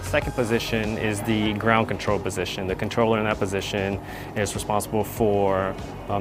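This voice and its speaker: A man narrating an explanatory tour as an air traffic controller, in a measured, semi-scripted way. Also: fairly low pitch.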